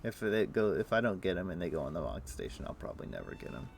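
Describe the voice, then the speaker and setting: A man's voice: sits low, sing-song, fast, vocal fry, slight background noise. A man streaming a game, chatting casually and explaining things in a loose, rambling way full of fillers. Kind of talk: casual chat while playing.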